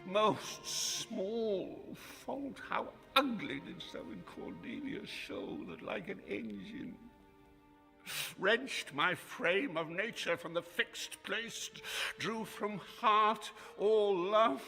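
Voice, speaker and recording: Raging old king, high-strained and ringing. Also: animated, slow pace, gravelly, sharp tone, faint music behind, full character voice. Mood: anguished. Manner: theatrical, declamatory, swinging from murmur to roar. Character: proud, aged king raging at his daughter. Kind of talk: classical Shakespearean radio drama acting. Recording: reverberant hall-like radio drama sound.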